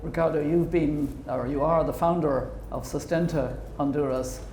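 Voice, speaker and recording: Male panel moderator, halting and friendly, mellow tone, roomy recording. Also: fairly high pitch, steady tone, a little hiss or hum. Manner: hesitant, many ums and uhs, friendly joking.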